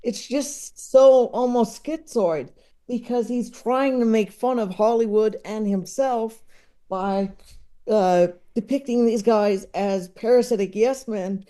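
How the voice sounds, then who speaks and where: Male comedy-expert guest with a high, mellow voice, slow and halting, conversational. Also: smooth. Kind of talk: casual conversational film discussion.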